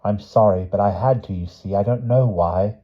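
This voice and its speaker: A man's voice: fairly low pitch, normal speed, muffled recording, close to an everyday voice. A man voicing a brooding, proud boy in a storybook, speaking firmly and tersely. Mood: regretful.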